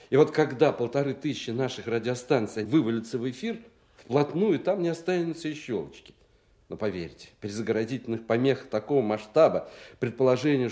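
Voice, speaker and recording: An actor playing a brusque, authoritative Soviet colonel in a radio drama, speaking in a firm, clipped, insistent way. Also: male voice, medium pitch, mellow, natural voice.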